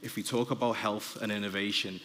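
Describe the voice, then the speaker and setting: A man from Liverpool with a Liverpool accent, giving a brisk business presentation with slides to a conference panel audience. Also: lowish voice, fairly even intonation, fairly bright tone. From Liverpool, England. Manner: brisk, hurrying through slides. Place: large conference hall. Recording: distant hall reverb with faint noise.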